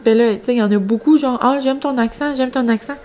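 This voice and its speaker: A young woman with a northern New Brunswick Acadian French accent talks in a fairly high voice. She speaks casually to her vlog viewers, rambling along in colloquial regional speech. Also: fast, darkish tone. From New Brunswick, Canada. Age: young adult. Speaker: vlogger.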